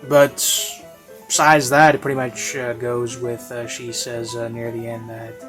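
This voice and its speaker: A man giving a casual, rambling spoken review in a hesitant, halting way, as if thinking aloud. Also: mid-range voice, flat delivery. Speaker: reviewer of audio series. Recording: classical music playing behind. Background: classical music.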